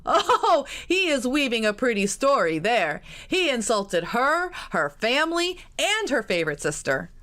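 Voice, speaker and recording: Woman playing a mocking, teasing gentleman. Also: fairly high pitch, big pitch swings, a little slow, hardly any pauses, voice pushed up. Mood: gleeful. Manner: teasing, showy.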